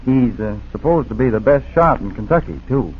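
Earnest witness on tinny old radio. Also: male voice, medium pitch, expressive, fairly quick. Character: earnest, plain-spoken male burglary victim. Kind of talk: 1940s radio acting. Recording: husky, narrow-band 1940s radio recording.

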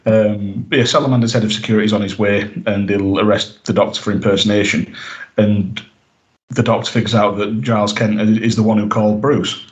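A man's voice: lowish voice, fairly even intonation, a little fast, roomy sound, lo-fi sound. A man co-hosting a podcast, recapping an episode in casual chat, rambling with lots of "you know" and "uh". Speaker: podcast co-host.

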